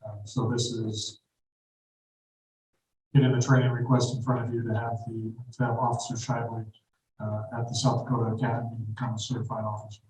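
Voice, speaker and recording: A man chairing a city council meeting, calling votes and reading agenda items in a brisk, procedural way. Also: mid-range voice, little pitch movement, a little mellow. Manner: brisk procedural, quickens when explaining. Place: council auditorium. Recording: echoey hall from far off, faint noise.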